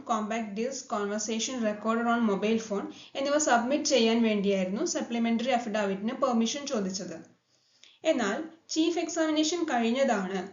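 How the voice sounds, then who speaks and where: A Malayali woman presenting a scripted legal explainer in a formal manner, mixing in English legal terms. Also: on the high side. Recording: dull lo-fi sound, echoey room.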